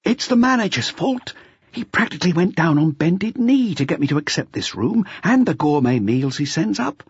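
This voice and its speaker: A man voicing an eager, chatty junior police detective who briskly confides his report to the chief. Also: on the high side, very animated, breathy edge, dry recording, highs cut off, pitched above the performer's own voice, exaggerated voice. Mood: confiding.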